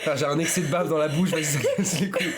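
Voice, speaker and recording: A man's voice: on the high side, fairly even intonation, racing, a loud crowd. A man hosting a video, joking casually in a crude, slangy way. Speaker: video host.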